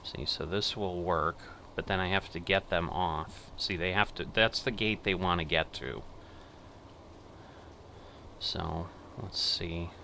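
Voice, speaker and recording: A middle-aged man giving casual gameplay commentary and controller commands, thinking aloud in an unhurried way. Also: deep voice, fairly even intonation, gravelly, sharp tone, a little hiss or hum. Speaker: gaming channel host playing air traffic controller.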